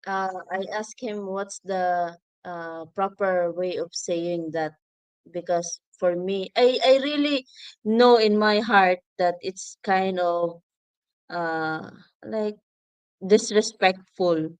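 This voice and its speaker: Clear-voiced Filipino woman, smooth and dry. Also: medium pitch, slow, a little mellow, no room sound, low-quality recording. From Philippines. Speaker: co-host of a livestream podcast. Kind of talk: casual livestream chat, explaining.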